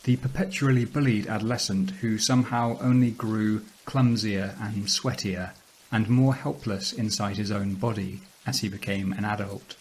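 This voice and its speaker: Male actor as sullen loner narrating horror audio drama. Lowish voice, measured and literary, hiss behind. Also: fairly even intonation, darkish tone, lightly acted, low-quality recording. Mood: brooding, disdainful. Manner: measured, brooding, literary delivery. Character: weary, socially awkward, sullen male narrator. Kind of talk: audio drama first-person monologue.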